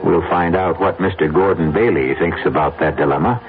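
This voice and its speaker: A man's voice: sits low, fairly quick. A man hosting a radio mystery drama with scripted, portentous narration. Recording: tinny radio recording, music behind.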